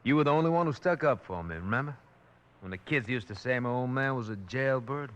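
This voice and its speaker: An actor playing a sly, cocky captured outlaw with a Western accent in a radio western. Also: male voice, mid-range voice, expressive, a little fast, fairly bright tone, lightly acted. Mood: sly, rueful. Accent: American Western. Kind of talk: 1950s radio western acting. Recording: old radio recording with faint noise.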